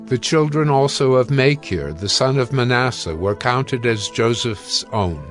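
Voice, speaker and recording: A man narrating scripture in a solemn, measured and formal scripted style. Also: mid-range voice, lively intonation, soft background music.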